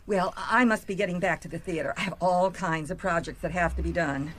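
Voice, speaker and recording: A woman's voice: fairly low pitch, a little fast, a little hiss or hum, lightly acted. A woman playing a dramatic, touchy stage actress in a radio mystery drama, with a theatrical manner. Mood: gushing.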